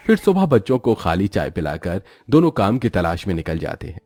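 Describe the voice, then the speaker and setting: A man's voice: low-pitched, mellow, dry recording. A man narrating a scripted moral story for a cartoon as its storyteller.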